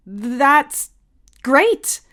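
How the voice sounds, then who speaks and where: A woman's voice: sits high, big pitch swings, very slow, a bit bright, pitched above the performer's own voice, exaggerated voice. Casting: woman as flustered young woman under strain, sarcastic and defensive, blurting delivery, audio drama. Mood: flustered, startled.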